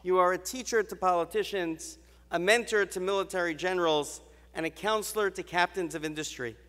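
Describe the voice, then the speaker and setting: Man, formal award speech, measured stately delivery, higher-pitched voice, reverberant ballroom. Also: fairly bright tone. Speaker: university president presenting an award. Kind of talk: formal ceremonial address. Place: large hotel ballroom. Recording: reverberant banquet hall.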